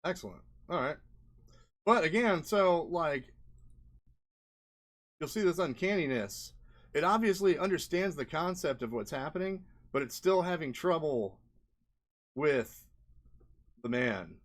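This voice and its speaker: A man's voice: a little high, expressive, measured pace, long pauses. A man giving an unscripted, casual walkthrough as a presenter, rambling conversationally and often checking in with the listener. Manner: rambling, conversational, often seeks agreement with "right?" and "you know". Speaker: indie game developer, presenter.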